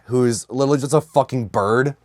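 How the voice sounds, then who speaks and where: A man with a mid-pitched voice chats as a comedy podcast co-host, recorded dry and close. He talks in loose slang, swears often and keeps riffing jokingly. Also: fairly quick, dry recording. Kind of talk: casual comedic banter.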